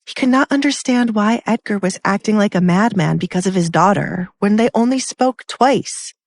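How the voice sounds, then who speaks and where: A woman's voice: mid-range voice, normal speed, no room sound. A woman narrating a scripted dramatic story as an audiobook.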